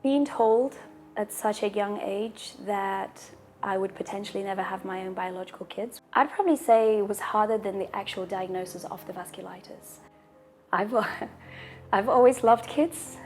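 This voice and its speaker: A woman in her thirties, a native Portuguese speaker, telling her personal story in a calm, measured way, with a husky voice and deep breaths between sentences. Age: 30s. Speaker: interviewee sharing a personal story. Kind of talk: personal testimonial.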